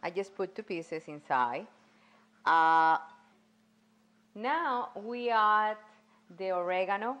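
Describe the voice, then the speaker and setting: A middle-aged woman with a Cuban accent, a guest cook demonstrating a recipe in a home kitchen, speaking haltingly with many pauses. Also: medium pitch, a bit bright, dull audio. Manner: halting, many ums, non-native phrasing. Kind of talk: cooking demonstration.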